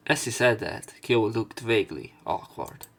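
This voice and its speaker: Low-voiced man narrating a game casually. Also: lively intonation, a little slow, vocal fry. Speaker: let's play commentator. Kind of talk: reading game text aloud with casual commentary. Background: soft game music.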